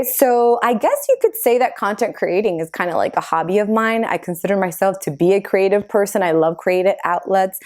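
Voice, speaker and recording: A woman's voice: mid-range voice, a little mellow. A woman in her thirties from Argentina whose first language is Spanish, chatting casually as she answers her own get-to-know-me questions, with many fillers. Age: 30s. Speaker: YouTuber.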